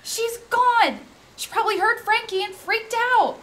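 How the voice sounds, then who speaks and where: A young woman playing an earnest, caring, impulsive college student in an audio drama, with an eager, expressive delivery. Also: extremely high pitch, unhurried. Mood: alarmed. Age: young adult. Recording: faint background noise.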